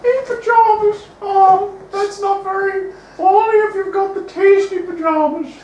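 A man voicing a silly high-voiced guest who rambles absurd nonsense and swears. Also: steady tone, unhurried, a little mellow, muffled recording, a little hiss or hum. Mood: giddy. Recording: echoey room, distant mic.

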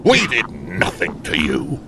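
An actor playing a wrathful, menacing demon overlord in an audio drama, with slow, theatrical, accusing delivery. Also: male voice, low-pitched, wildly swooping pitch, background noise, exaggerated voice. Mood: furious.

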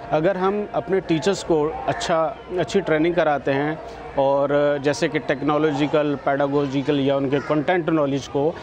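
Middle-aged man, halting interview answers. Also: fairly high pitch, level tone, lo-fi sound, background noise. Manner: deliberate, with many filler pauses and English terms. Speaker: education official interviewed. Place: outdoors at a school building. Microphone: lapel.

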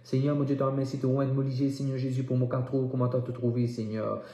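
Male prayer leader, soft-edged mellow tone, repetitive incantatory delivery, roomy reverberant sound. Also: medium pitch, flat delivery, fast. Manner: incantatory, repeating phrases with emphasis. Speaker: preacher leading prayer. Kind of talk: fervent prayer and declaration, repetitive. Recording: echoey room sound.

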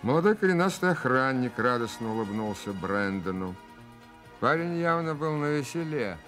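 An actor narrating a detective story as a scripted radio play. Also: male voice, mid-range voice, lively intonation, moderate pace, fairly bright tone, soft background music, close to an everyday voice. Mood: storytelling.

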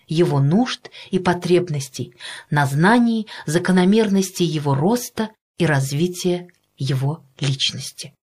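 Measured woman narrating an audiobook. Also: lowish voice. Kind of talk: scripted nonfiction audiobook narration.

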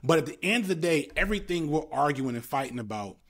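A man's voice: fairly high pitch, lively intonation, fast talker, a bit bright. A man in his thirties on a headset video call, thoughtful and rambling with many fillers. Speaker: panel guest. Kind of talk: casual political chat. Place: home room, green wall. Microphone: headset, laptop video call.

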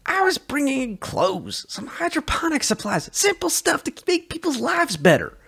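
A nervous, flippant smuggler, performed by a male story reader. He pitches up high and swoops around. He hesitates and stammers defensively, as if begging a favour. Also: huge pitch swings, normal speed, creaky, bright, forceful tone, heavily acted. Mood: nervous, pleading.

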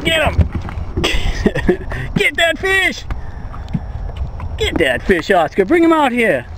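A man in his forties on a small fishing boat, chatting excitedly to an action camera and his dog about his catches. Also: very high voice, slow pace, background noise. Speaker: fishing vlogger. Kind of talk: casual outdoor commentary to camera and dog. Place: small fishing boat on open water outdoors. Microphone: action camera at close range.

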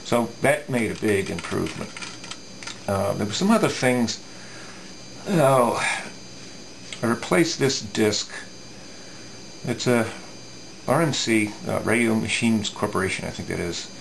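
A middle-aged man giving a casual hands-on technical explanation, thinking aloud haltingly with folksy asides. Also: fairly low pitch, animated, gravelly, a little hiss or hum, rough recording. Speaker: radio repair hobbyist presenting. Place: indoor electronics workbench.

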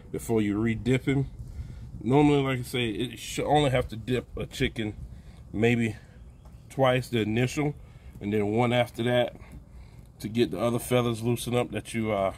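A man's voice: medium pitch, normal speed, a little hiss or hum. Folksy older man talking outdoors. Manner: folksy, relaxed, talks while plucking, calls out "baby". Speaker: backyard homesteader. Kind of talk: casual how-to narration while working. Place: outdoor backyard. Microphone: phone camera held close, hands-on filming.

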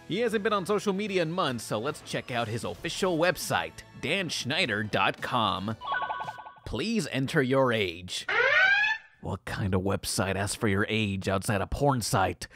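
Sarcastic man narrating with lively pitch. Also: normal speed. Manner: sarcastic, mocking. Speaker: host of a cartoon review show. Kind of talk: scripted review narration with sponsor read. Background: music bed.

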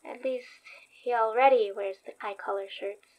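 Female actor as young store worker, slightly high voice, earnest and anxious, halting delivery, naturalistic horror audio drama. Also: steady tone, lightly acted, rough recording. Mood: guilty, subdued. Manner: hesitant, halting.

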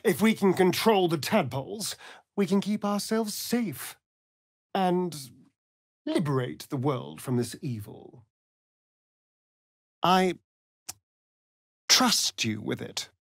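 A man's voice: high-pitched, animated, slow pace, gravelly, pauses often, no room sound, heavily acted. A vain, flirtatious male vampire rogue from a fantasy video game. He has a British accent and an arch, theatrical manner, lingering over his words with sweeping, sardonic intonation. The sound is close and dry. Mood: wary, persuasive.

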